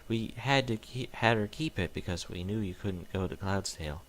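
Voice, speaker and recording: A man voicing a tearful, sniffling female pony in his own low voice. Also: normal speed, slight background noise, close to an everyday voice.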